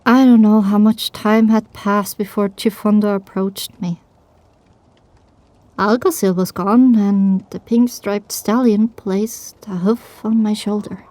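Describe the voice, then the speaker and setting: A woman playing a determined young female pony who tells her story with grief, in an emotional, halting, drawn-out delivery for an audio drama. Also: mid-range voice, steady tone, warm tone, dry recording, natural voice. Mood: broken, sorrowful. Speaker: narrator. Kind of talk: first-person dramatic audiobook narration.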